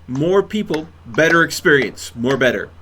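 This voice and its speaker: Guy streamer w a highish bright voice, mock serious rambling, stammers and repeats words, casual gaming banter. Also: big pitch swings, moderate pace, a little hiss or hum. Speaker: live streamer hosting a gaming session.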